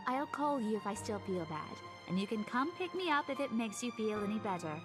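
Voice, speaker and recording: A woman's voice: fairly high pitch, animated, a little fast, over music, natural voice. A woman playing a stubborn, playful young woman in a visual novel, teasing and breezy. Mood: reassuring, teasing. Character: stubborn, playful young woman who teases her friend.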